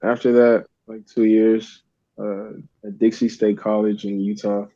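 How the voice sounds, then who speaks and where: A young man from Uganda, chatting as a guest in a relaxed, rambling way with plenty of fillers. His voice sits low and sounds warm and mellow. The recording is dry and dull, with a lo-fi edge. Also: measured pace, no echo. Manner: laid-back, rambling with many fillers. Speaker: guest artist. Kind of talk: casual interview chat.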